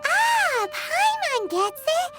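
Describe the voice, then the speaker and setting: A woman voicing a tiny, excitable female fairy companion who talks about herself in the third person, in a game cutscene. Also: very slow, full character voice. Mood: excited. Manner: bubbly, high-pitched, refers to herself in third person. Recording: game audio with loud background music.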